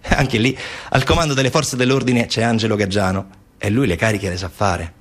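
A man's voice: fairly low pitch, fairly even intonation, a little fast. A man, a stage actor, narrating a monologue in short declarative phrases with emphatic repetition.

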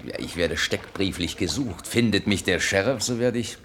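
A low male voice portrays a wary sea captain on the wrong side of the law. He is deferential yet blunt in this radio drama, over faint background noise from an older recording. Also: normal speed, a bit bright. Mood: uneasy. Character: wary smuggler sea captain, gruffly polite outlaw. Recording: hissy 1970s radio play recording.

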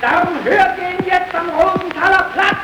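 An actress playing a solemn storyteller who declaims the narration of an old radio play. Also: female voice, extremely high pitch, steady tone, normal speed, over a noisy crowd. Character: solemn storyteller announcing a street hawker's fate. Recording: noisy, echoey old radio recording, distant.

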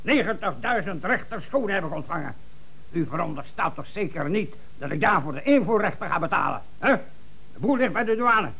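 Creaky, blunt businessman. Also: high-pitched, lively intonation, fairly quick, sharp tone, full character voice, low-quality recording. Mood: indignant. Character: blunt, self-satisfied American shoe buyer. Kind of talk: comic radio play acting. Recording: 1970s radio play recording.

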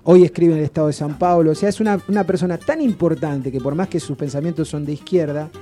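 A man talks on a radio show in a loose, rambling way, restarting his sentences as he goes. His voice is deep-toned and very dark, a little higher than most men's. Soft music plays faintly behind him. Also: fast. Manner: rambling, chatty storyteller with many restarts. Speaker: radio guest presenting authors. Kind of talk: casual radio chat.

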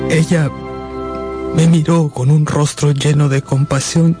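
An actor playing a sorrowful gentleman who narrates his own life in a radio drama, with emotional, dramatic pauses. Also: on the high side. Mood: grieving. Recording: loud music bed under voice.

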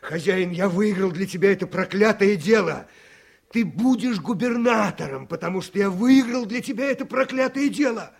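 An actor playing a desperate, servile henchman in a radio play, with a husky creaky voice and halting, stammering speech. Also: sits high. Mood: agitated. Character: desperate, servile male henchman pleading for his job.

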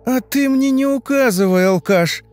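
A man voicing a shrill, domineering young woman, a cold and stern sister who cuts others off, in an audiobook story. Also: big pitch swings, fast talker, darkish tone, faint music behind. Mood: shrill, yelling.

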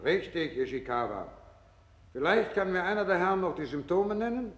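Male professor, radio drama: formal, instructive, authoritative, slightly high pitch, reverberant lecture hall. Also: animated, normal speed. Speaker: professor lecturing medical students. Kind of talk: German radio play acting, lecture delivery. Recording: echoey hall with long reverb.

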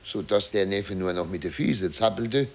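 An actor playing a middle-aged, self-important, flustered mayor, telling a story dramatically with hesitations in a 1950s radio play. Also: male voice, sits low, moderate pace. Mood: agitated, relieved. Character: flustered, self-important small-town German mayor recounting a scandal.

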